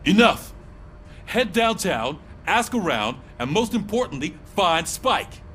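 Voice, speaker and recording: A man playing a gruff, commanding police sergeant in a video game, giving brisk, authoritative orders. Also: high-pitched, very animated, noisy background, heavily acted. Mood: stern.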